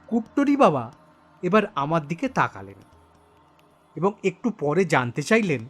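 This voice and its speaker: Slow, animated man narrating a horror story. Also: a little high, faint music behind. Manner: suspenseful, deliberate phrasing. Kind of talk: dramatic first-person scripted narration.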